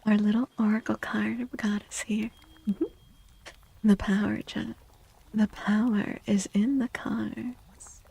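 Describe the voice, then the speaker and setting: A woman hosts an ASMR livestream in a very soft, breathy voice that often slips toward a whisper. Her delivery is slow and gentle, with a creaky edge and a mellow tone. She sounds close to the microphone as she chats. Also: mid-range voice, level tone, low-quality recording. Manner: gentle, unhurried, greeting chatters. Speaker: livestream host, VTuber. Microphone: close microphone, dry.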